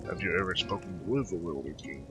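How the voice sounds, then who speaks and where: A man giving casual gameplay commentary and reading dialogue aloud, halting and stumbling over his words. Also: deep voice, music in the background. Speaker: gamer playing through a quest.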